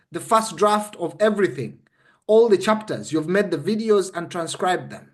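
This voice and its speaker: Ugandan male, high-pitched for a man. Conversational coach, instructive and emphatic, checks the listener follows. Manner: emphatic, instructive, checks understanding with short questions. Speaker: interview guest explaining a method.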